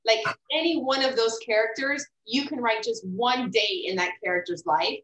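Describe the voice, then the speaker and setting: A woman's voice: fairly high pitch, moderate pace, fairly bright tone, a little hiss or hum. Hesitant woman in an echoey room. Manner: hesitant, repeats words, many fillers. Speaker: guest author. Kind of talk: casual interview chat.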